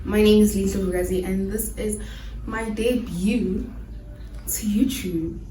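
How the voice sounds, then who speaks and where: Slow-talking young woman in an echoey room. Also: mid-range voice, fairly even intonation, mellow, a little hiss or hum. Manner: chatty, laughs easily, restarts sentences. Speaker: YouTuber. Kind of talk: casual Q&A vlog. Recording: echoey room, mic far off.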